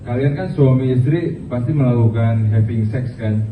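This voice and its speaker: A man delivers stand-up at a small open mic, halting and filler-heavy, with bits of English mixed in. He sounds distant from the mic in a roomy space. The audio is thin and phone-like, with a faint hiss underneath. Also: medium pitch. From Indonesia. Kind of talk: stand-up comedy.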